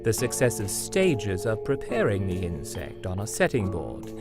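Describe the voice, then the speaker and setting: A man reading literary memoir passages as a documentary voice-over, measured and expressive. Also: on the low side, music in the background.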